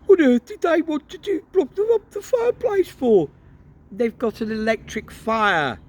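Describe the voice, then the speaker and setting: A man voicing a flustered, enthusiastic middle-aged wizard father who stammers with excitement. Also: high-pitched, normal speed, slightly rough, pitched above the performer's own voice, exaggerated voice. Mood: excited.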